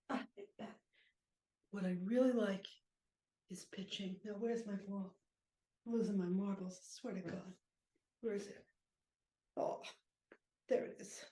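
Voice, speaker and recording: A woman playing a cranky, sentimental aging ex-baseball pitcher with an aching back, rambling and muttering in an improvised monologue. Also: darkish tone, natural voice. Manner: rambling, muttering, groaning, talks fondly to objects. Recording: echoey room.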